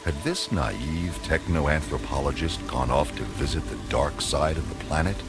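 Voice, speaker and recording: A man's voice: extremely low pitch, lively intonation, moderate pace, over loud music. A man narrating a radio play from a script in a portentous, atmospheric storytelling manner.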